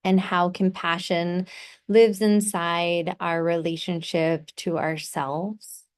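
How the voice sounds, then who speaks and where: A woman guides a meditation in a smooth, clear voice that sits a little low. She speaks very slowly and soothingly, leaving space between short phrases as she gives gentle instructions. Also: flat delivery.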